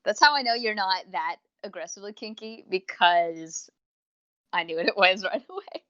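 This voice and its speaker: A woman's voice: medium pitch, normal speed, fairly bright tone, dry recording. A woman co-hosting a podcast over an online voice call, casual, teasing and irreverent. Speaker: podcast co-host. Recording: dull, lo-fi voice-chat line.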